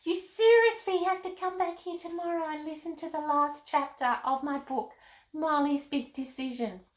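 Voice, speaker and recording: A woman's voice: high-pitched, moderate pace, pitched above the performer's own voice, natural voice. A woman voicing an eager, bubbly little girl character on a children's storytime show. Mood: excited. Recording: roomy, echoey room.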